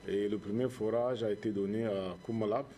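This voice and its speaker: A man with a Cameroonian accent speaks in a low, dark and relaxed voice. He answers interview questions earnestly, repeating phrases like a preacher. There is faint noise and ambience behind him. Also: brisk. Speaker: charity founder and musician, interview guest.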